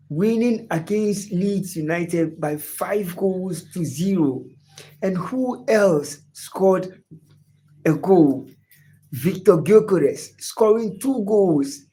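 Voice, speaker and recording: A man with a Ghanaian English accent and a slightly high voice hosts a football livestream. He draws out his questions and repeats whole phrases over and over. Along the way he greets viewers in the chat by name. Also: darkish tone, rough recording. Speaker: sports livestream host. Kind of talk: live sports chat reading viewer comments.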